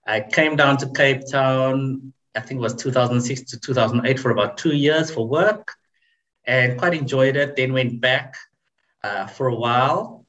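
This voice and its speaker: A man in his forties, a podcast guest telling his family story in a measured, reflective way. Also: medium pitch, sharp tone, muffled recording. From South Africa. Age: 40s. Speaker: interview guest. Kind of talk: casual interview chat.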